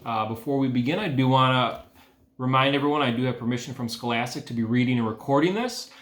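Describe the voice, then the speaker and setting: A man's voice: medium pitch, fast talker, a bit bright. A man, an educator, talking casually to students and narrating a children's storybook aloud. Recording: echoey room.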